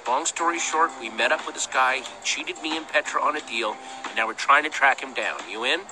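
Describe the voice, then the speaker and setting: A man's voice: mid-range voice, brisk, close to an everyday voice, rough recording. An actor playing an earnest young male hero in a video game, speaking casually but with urgency. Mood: apologetic, earnest.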